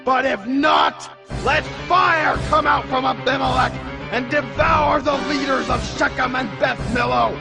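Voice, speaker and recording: An embittered biblical survivor pronouncing a curse in an audio drama. His voice is extremely high and gravelly, impassioned and declamatory, over music. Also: measured pace, heavily acted. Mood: bitter cursing. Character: Jotham, embittered male survivor crying out a curse.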